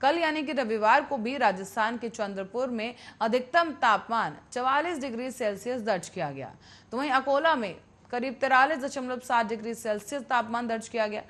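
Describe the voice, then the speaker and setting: High-pitched woman reading the news. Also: lively intonation, normal speed, fairly bright tone. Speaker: news anchor.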